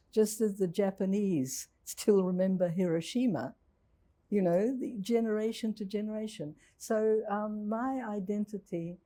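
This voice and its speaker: Elderly New Zealand-accented woman, mellow voice. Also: mid-range voice. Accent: New Zealand English. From Czechoslovakia. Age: 80s. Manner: reflective and candid, with restarts. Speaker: interviewee. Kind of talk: recalled testimony in a public interview. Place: university hall with an audience.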